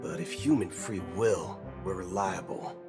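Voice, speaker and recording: A man's voice: deep voice, a little slow, music in the background. A male actor voicing a brash, cynical cyborg cowboy gunslinger in a video game, with a swaggering drawl. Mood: cynical, insistent.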